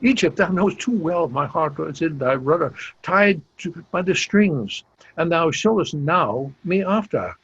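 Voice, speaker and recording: An amateur actor reading a shamed, despairing older general in a Shakespeare play, halting and stumbling over his words. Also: fairly high pitch, lively intonation, vocal fry, a bit bright, breathy edge, exaggerated voice. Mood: bitter, ashamed. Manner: halting, stumbling reader with exclamations. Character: despairing, shamed older male general, grey-flecked and self-reproaching. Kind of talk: amateur Shakespeare read-aloud. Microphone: video call. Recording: dull lo-fi video call, faint music.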